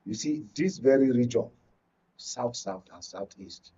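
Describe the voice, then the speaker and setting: Husky Nigerian man in muffled panel audio. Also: medium pitch, unhurried, warm tone. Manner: emphatic, repeats words and phrases for stress. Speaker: studio guest, journalist and media analyst. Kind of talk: live political panel discussion. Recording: muffled, distant-mic broadcast audio.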